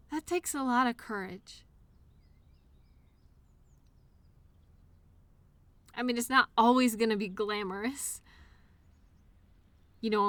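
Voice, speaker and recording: A woman playing a loyal childhood imaginary friend in an audio roleplay, speaking in an earnest, reassuring, gently encouraging way. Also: medium pitch, no room sound. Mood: earnest encouragement. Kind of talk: one-sided audio roleplay, intimate scripted conversation.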